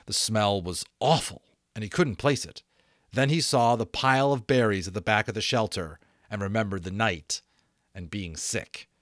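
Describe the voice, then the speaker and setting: A man in his thirties reading a novel aloud as an expressive, dramatic audiobook narrator. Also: on the low side, normal speed, dry recording, clean studio sound. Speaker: audiobook reader, voice actor. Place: small home room, indoors. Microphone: close desk microphone.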